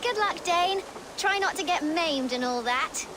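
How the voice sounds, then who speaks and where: A woman's voice: very high-pitched, animated, unhurried, background noise. A woman playing a cheeky, defiant young street-thief girl with an English accent and sassy, slangy banter in a video game scene. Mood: scheming. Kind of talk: video game cutscene acting.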